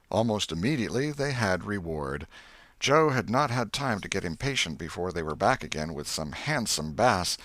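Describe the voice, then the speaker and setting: A man with a fairly low voice narrates a literary bedtime story. His delivery is measured and scripted, in the manner of an audiobook reader. The recording is dry, with very little room sound. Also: a bit bright, no room sound.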